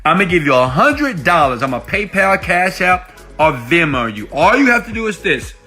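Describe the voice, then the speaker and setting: A man's voice: on the high side, big pitch swings, moderate pace, sharp tone, faint music behind. A man giving an emphatic motivational tutorial as an online coach, checking in with rhetorical questions, recorded on an iPhone. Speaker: online money-making coach. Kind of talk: motivational tutorial pitch to viewers. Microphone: iPhone microphone.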